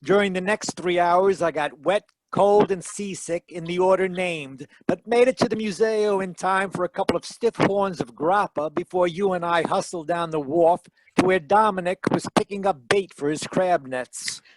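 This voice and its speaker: An actor playing a wisecracking hard-boiled private detective in a 1940s radio style, with a wry, glib manner. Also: male voice, sits high, moderate pace, few pauses, no room sound, natural voice, low-quality recording. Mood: brisk, decisive.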